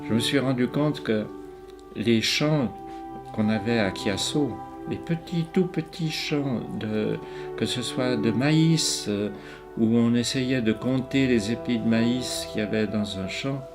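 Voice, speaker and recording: A man in his eighties, raised in Ticino, speaks French slowly and haltingly, often pausing and repeating words as he reflects on his life as a painter. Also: mid-range voice. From Ticino, Switzerland. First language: Ticinese dialect. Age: 80s. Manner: slow, hesitant, many pauses and repeated words. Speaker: painter interviewed. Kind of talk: reflective interview answers.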